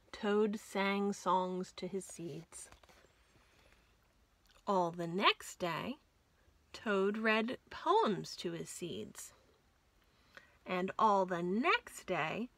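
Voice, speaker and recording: A woman's voice: mid-range voice, animated, drawn-out words, no room sound. A woman reading a story aloud to children as a storyteller.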